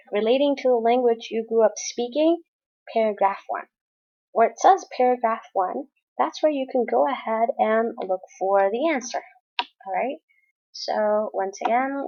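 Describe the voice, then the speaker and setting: A woman's voice: fairly high pitch, darkish tone, muffled recording. A woman teaching a college English class, explaining exercises step by step in a recorded lesson. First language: Spanish. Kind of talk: recorded screen-share lesson.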